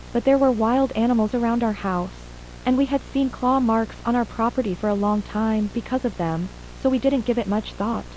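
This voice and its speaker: Woman acting as shaken mom survivor in an analog horror interview, mellow high voice, faint hiss behind. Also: lightly acted, low-quality recording. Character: shaken mother and wife, surviving witness of an attack. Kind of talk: acted interview in an analog horror audio drama.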